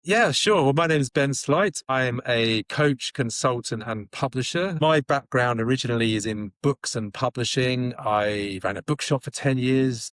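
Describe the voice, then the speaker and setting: A man who works as a coach and publisher, talking in a casual, halting way with many pauses. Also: medium pitch, fairly bright tone, no room sound. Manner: hesitant, lots of uh and restarts. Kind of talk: casual conversation.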